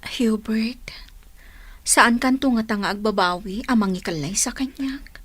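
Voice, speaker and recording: A woman playing an anxious, tender young bride-to-be in a romantic radio drama, breathy and pleading. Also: fairly high pitch, measured pace, a bit bright.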